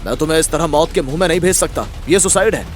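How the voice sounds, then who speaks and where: A man's voice: on the high side, quick pace, soft background music, natural voice. A man voicing a sober army captain with a formal, grave manner in a story melodrama. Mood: grave, official. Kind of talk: audio story melodrama acting.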